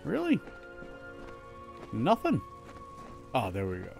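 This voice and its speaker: A man's voice: mid-range voice, wildly swooping pitch, slow, vocal fry, a little mellow, soft background music. A man in his thirties streaming a game from home, chatting casually and joking about his own play. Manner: chatty, self-mocking, restarts often. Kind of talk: casual live gameplay commentary.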